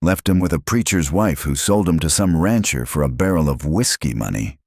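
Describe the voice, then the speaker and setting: A man's voice: very deep voice, animated, normal speed, darkish tone, husky, no room sound, studio quality, heavily acted. A man voicing a desperate, hungry woman outlaw who talks in curt, growling frontier speech. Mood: weary.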